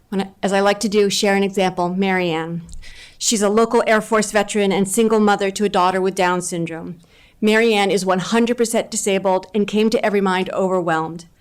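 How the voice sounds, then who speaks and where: Smooth-voiced woman giving testimony. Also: medium pitch. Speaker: nonprofit leader giving public testimony. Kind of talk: prepared testimony read aloud. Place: county council chamber.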